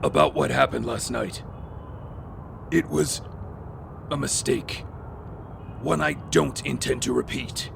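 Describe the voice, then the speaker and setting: A man playing a grim, self-assured hooded vigilante in an audio drama, terse and stern with a gravelly voice. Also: mid-range voice, slow, pauses often, background noise.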